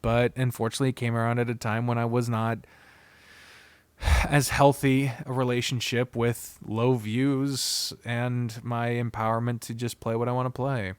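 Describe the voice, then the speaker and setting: A man's voice: medium pitch, little pitch movement, moderate pace, smooth, no echo. A man hosting a casual, unscripted review video, speaking bluntly and expressively with frequent swearing and rambling asides. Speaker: video host reviewing visual novels.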